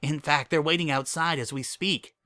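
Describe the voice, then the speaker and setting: Patient cartoon unicorn mare, played by a man: slightly lifted, bright voice, affectionate and reassuring, dry sound. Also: on the high side, steady tone, moderate pace, no echo, voice pushed up. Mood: tender, reassuring. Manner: explanatory, reassuring.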